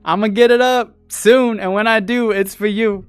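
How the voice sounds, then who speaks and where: A talkative male streamer with a fairly high voice, recorded dry and close to the mic. He chats loosely with his viewers and laughs often, with a smooth salesman-style delivery. Faint music plays underneath. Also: fairly even intonation, moderate pace, no room sound. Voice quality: voice people call made for radio and sales. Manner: chatty, laughs often, repeats himself. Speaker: streamer and podcast host. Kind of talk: casual livestream chat with viewers.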